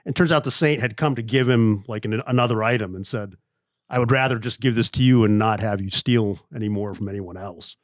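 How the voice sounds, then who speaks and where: A man's voice: fairly low pitch, brisk, no room sound. A man hosting a podcast in a studio, agreeable and hesitant, often restarting his sentences and laughing easily. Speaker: podcast host. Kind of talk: casual podcast chat.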